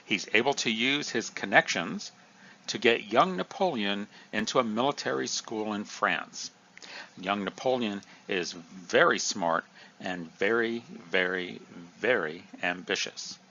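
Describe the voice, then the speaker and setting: A man with a low voice lectures through a history review in a lively, emphatic manner. His tone is bright and forceful, and the recording sounds a little dull and lo-fi. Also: a little slow, creaky. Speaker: history teacher.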